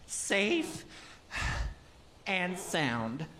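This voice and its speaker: A woman's voice: lowish voice, huge pitch swings, drawn-out words, a crowd in the background, full character voice. A woman playing a cocky, nonchalant young lad, the easygoing hero of a fairy tale radio play.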